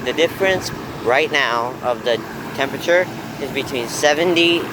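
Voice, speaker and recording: A young man vlogging outdoors on his phone, slightly hoarse from losing his voice, rambling with lots of fillers and pauses. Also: mid-range voice. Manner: rambling, halting, full of fillers. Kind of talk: casual rambling vlog. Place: outdoors near a bus loop on a windy day. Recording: loud wind noise outdoors.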